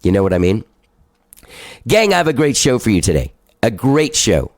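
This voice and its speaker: A man's voice: lowish voice, big pitch swings, normal speed, dry recording, clean studio sound. A male podcast host with a stuffed-up nose, chatting casually and loosely, swearing now and then and laughing at himself.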